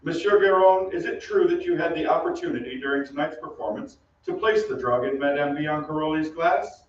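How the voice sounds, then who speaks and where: Formal, no-nonsense inspector acted by a man, radio melodrama, slightly high voice, dull echoing recording. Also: brisk, close to an everyday voice. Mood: stern, official. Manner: clipped, formal, authoritative. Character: stern, formal, unbiased police inspector. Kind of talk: old-time radio drama acting. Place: theatre stage. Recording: echoey stage from far off, dull, noisy.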